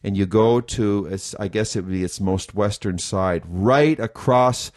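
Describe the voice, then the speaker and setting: A man's voice: on the low side, unhurried. A man co-hosting a casual chat, enthusiastic and rambling as he thinks aloud about plans. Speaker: co-host of a nutrition school podcast.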